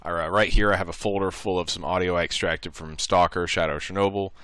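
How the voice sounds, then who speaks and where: A man presenting a casual software tutorial, talking informally through each step and thinking aloud. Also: low-pitched, steady tone, fast, heavy background noise.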